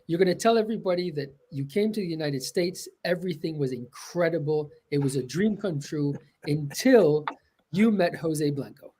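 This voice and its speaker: Husky, mellow man teasing a guest. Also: fairly high pitch, normal speed. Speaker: interviewer. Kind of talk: casual interview talk.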